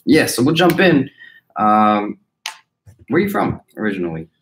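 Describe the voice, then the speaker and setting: A man's voice: mid-range voice, big pitch swings, moderate pace. A man hosting an interview in a casual, slangy, conversational style. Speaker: interviewer, host. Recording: roomy, echoing sound.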